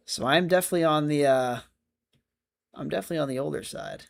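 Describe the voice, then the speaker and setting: A man's voice: a little high, quick pace, vocal fry. A man of twenty-five chatting casually on a livestream, answering viewer comments in a rambling, self-correcting way. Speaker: streamer.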